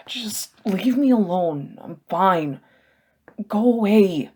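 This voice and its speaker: A woman's voice: mid-range voice, animated, slow pace, darkish tone, breathy edge, voice pushed up. A woman playing a wounded young male thief in an audiobook, sullen and stubborn, with a thin, wispy voice. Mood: weak, protesting.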